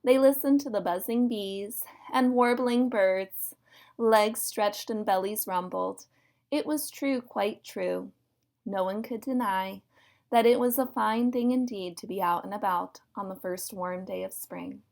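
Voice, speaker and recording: A woman narrating a children's story in an expressive read-aloud style. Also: mid-range voice, a little slow, gravelly.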